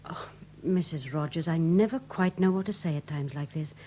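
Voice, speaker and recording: An actress playing a tactful, gently teasing detective's wife in a 1970s radio drama. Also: female voice, low-pitched, normal speed, lightly acted. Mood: polite concern. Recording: hissy 1970s radio broadcast recording.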